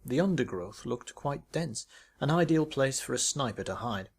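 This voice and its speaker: A man's voice: mid-range voice, animated, moderate pace, vocal fry, slightly rough. Man narrating a short story. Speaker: author reading own short story.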